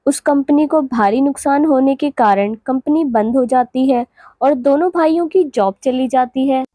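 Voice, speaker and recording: A woman's voice: high-pitched, a little slow, mellow, dry recording, clean studio sound. A woman giving scripted narration for a cartoon moral story.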